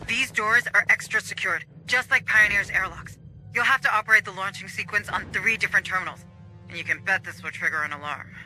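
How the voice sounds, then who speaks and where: An actor playing a composed military officer who guides a marine squad in a video game, instructive and serious. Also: male voice, sits high, a little fast, pushed and bright, faint music behind, poor audio. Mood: focused. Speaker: mission guide.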